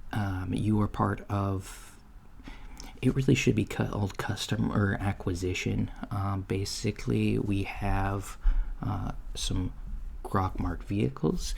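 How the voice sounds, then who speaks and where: Guy doing an awkward alien job interviewer for asmr, slow n halting, mellow soft voice, noisy lofi recording w typing. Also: on the low side, vocal fry. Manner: hesitant, halting, mumbling asides. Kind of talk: soft-spoken ASMR roleplay. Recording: lo-fi, steady noise, keyboard typing. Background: computer keyboard typing.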